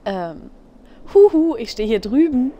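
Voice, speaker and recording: A woman's voice: a little high, very animated, a little slow, warm tone, muffled recording. A woman playing a snarky, exasperated adventurer in a parody fantasy audio drama, impatient in manner. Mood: indignant. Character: snippy, exasperated female adventurer.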